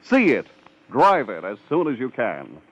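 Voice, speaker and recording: A man's voice: mid-range voice, wildly swooping pitch, measured pace, no room sound. A man announcing on 1950s radio, reading a script in a formal, emphatic manner. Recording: dull old radio recording.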